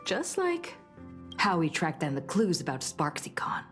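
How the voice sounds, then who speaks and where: A woman playing a composed, calculating strategist in a video game, deliberate and serious. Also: on the low side, wildly swooping pitch, soft background music. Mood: cool, resolute. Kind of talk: scripted video game voice acting.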